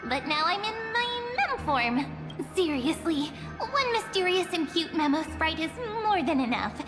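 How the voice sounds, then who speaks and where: A mysterious, coy young girl from a fantasy game. Her voice is extremely high and lilting, teasing and unhurried, over loud music. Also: big pitch swings, heavily acted. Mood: bright, playful. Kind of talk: video game voice acting.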